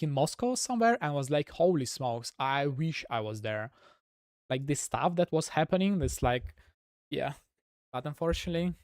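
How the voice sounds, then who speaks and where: A man's voice: on the high side, no echo, clean studio sound. A man from Russia with a Russian accent, a gaming streamer chatting casually with viewers in a rambling way, full of "like" fillers. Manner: rambling, heavy use of "like" fillers.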